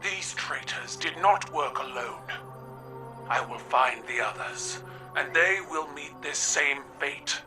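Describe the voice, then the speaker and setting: A man voicing a stern imperial empress, making slow, commanding proclamations to her troops. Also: mid-range voice, natural voice. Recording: distant voice over loud music.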